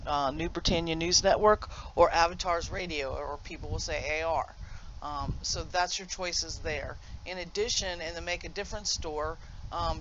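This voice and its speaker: A man's voice: on the high side, bright, forceful tone, muffled recording, slight background noise. A man giving a casual, unscripted game tutorial in an informal, explanatory way, correcting himself as he goes. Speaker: gamer presenting a demonstration. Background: video game music.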